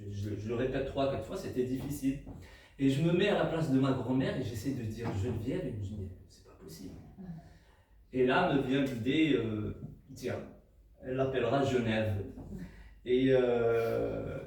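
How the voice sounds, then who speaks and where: A man with a warm, mellow voice, Arabic-speaking by birth, talks through his book without a script, pausing on fillers and restarting phrases. He is heard from a distance in a very reverberant hall, with faint noise behind him. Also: mid-range voice. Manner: hesitant, many fillers and restarts. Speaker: co-author presenting his book. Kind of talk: unscripted book talk to an audience. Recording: echoey room, mic at a distance, faint noise.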